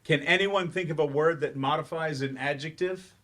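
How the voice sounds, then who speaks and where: A man acting in a staged play reading as a patient, slightly awkward English teacher who explains in measured steps with hesitant asides. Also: fairly high pitch, fairly bright tone, lightly acted. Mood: instructive.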